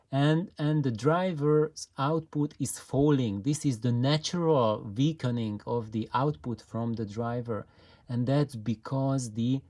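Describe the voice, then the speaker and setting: A man talking through technical charts at an unhurried, deliberate pace, pointing out each detail in turn. His pitch sits in an ordinary middle range. He sounds like a hands-on designer presenting his own loudspeaker build. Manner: methodical, explains step by step with pointing remarks. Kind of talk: technical explanation walking through frequency graphs.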